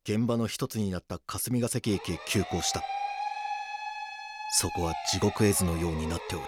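An actor narrating a crime docudrama as a grave police detective, in a measured, scripted delivery. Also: male voice, sits low, heavy background noise, close to an everyday voice. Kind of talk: scripted dramatic documentary narration.

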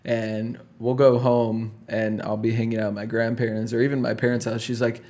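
A man hosting a casual interview, friendly and affirming, with quick comments between the guest's answers. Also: medium pitch, little pitch movement, warm tone. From Puerto Rican family. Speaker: podcast host.

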